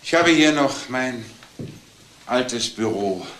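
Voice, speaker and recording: An actor playing a formal, wistful older businessman, with halting, careful phrasing, in a crime drama. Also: medium pitch, expressive, fairly bright tone, low-quality recording.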